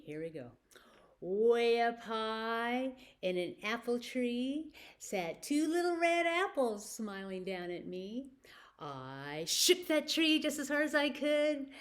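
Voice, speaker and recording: A woman presenting a children's story time, telling stories and rhymes and speaking directly to young listeners with simple prompts. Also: fairly low pitch.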